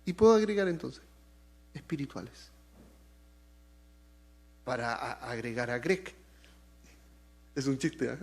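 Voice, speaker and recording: A man from Chile with a Chilean accent, preaching a sermon with lively swings in pitch and loudness and joking asides to the congregation. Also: takes it slowly, vocal fry, pauses often. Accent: Chilean Spanish. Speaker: pastor.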